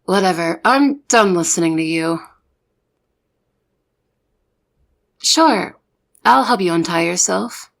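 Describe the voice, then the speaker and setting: A young woman playing a soft-hearted, easily exasperated secret agent in an ASMR audio roleplay. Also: fairly low pitch, expressive, moderate pace, vocal fry. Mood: annoyed.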